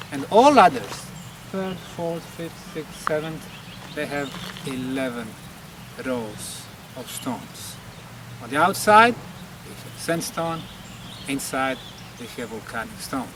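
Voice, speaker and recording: A man from Bosnia giving an emphatic on-location explanation as a presenter, in deliberate short phrases. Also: mid-range voice. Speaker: presenter, pyramid researcher. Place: outdoors at a temple site. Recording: distant mic, clear outdoor background noise.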